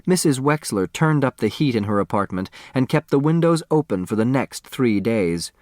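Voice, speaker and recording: A man reading a children's novel as an audiobook narrator, in an expressive scripted storytelling style. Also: medium pitch, normal speed, dry recording, studio quality.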